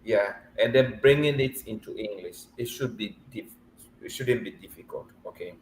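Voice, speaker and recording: A man's voice: fairly low pitch, normal speed, a little hiss or hum. A man coaching a student for a mock visa interview over a video call, teasing and laughing often but encouraging. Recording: echoey room with faint ambience.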